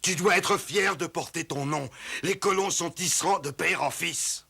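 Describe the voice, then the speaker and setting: A man voicing a stern, stubborn, middle-aged weaver father in a French cartoon, with a gruff manner. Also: on the high side, moderate pace, sharp tone, full character voice. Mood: stern, scolding. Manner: gruff, admonishing.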